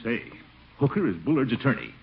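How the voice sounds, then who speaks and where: A man's voice: mid-range voice, big pitch swings, fairly quick, vocal fry. An American man playing a pompous, easily flustered middle-aged uncle in an old radio comedy, blustery and exasperated. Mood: suspicious. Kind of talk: 1940s-50s American radio situation comedy acting. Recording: noisy, muffled old radio broadcast.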